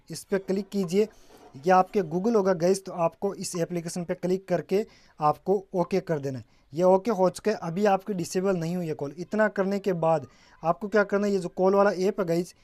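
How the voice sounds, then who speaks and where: A man's voice: high voice, a little mellow. Man giving a casual phone tutorial. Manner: repeatedly addresses viewers as guys, mixing in English terms. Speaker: tech tutorial presenter.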